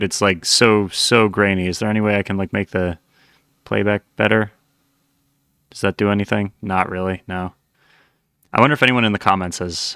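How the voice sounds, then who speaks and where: A man giving casual reaction commentary as a channel host, thinking aloud in a halting way. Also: on the low side, bright, forceful tone, dry recording, studio quality.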